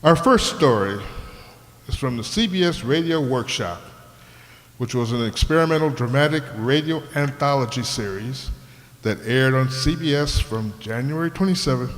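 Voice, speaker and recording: A man hosting a radio players' show, giving a scripted introduction in a deliberate, showman-like way. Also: medium pitch, lively intonation. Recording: echoey hall with long reverb.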